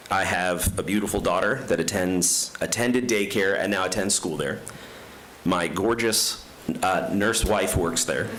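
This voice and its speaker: Man, low voice, earnest prepared remarks at public comment; faint background hum. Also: fairly bright tone. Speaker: resident speaking at public comment. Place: county commission chamber.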